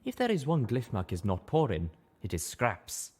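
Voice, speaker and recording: A man acts out a grave female zebra healer in a fantasy audiobook. The delivery is quiet, flat and measured. The character comes across as calm, blunt and firm. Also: medium pitch, breathy edge. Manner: quiet, solemn, flat and deliberate.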